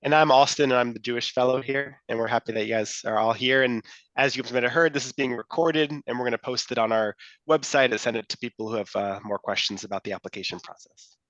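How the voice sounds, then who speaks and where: A young man with a mid-pitched voice answers questions on a video call. The sound is dry and close, and he speaks in a loose, relaxed way. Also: fast talker, no echo, highs cut off. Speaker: fellowship member.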